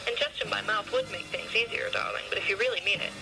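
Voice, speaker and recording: A performer voicing a pompous, flattering male fashion designer with a theatrical, flowery manner in an audio story, with music behind. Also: mid-range voice, fast, gravelly, lightly acted, low-quality recording. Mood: persuasive.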